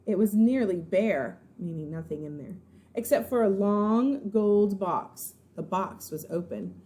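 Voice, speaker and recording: A woman, a first grade teacher, narrating a children's storybook in an expressive, suspenseful, dramatic way. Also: mid-range voice. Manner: suspenseful, dramatic, pauses to explain words.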